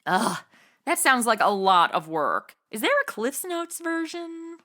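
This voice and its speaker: Brash young female sidekick: bright, high-pitched, hugely animated, flippant comedy delivery. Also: unhurried, exaggerated voice. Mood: casual, blunt. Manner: sassy, casual modern slang. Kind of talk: comedy audio drama acting.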